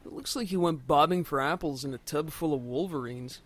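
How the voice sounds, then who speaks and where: A man's voice: a little high, fairly quick. A man co-commentating on a video game, chatting casually with dry, sarcastic humour. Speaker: let's play co-commentator.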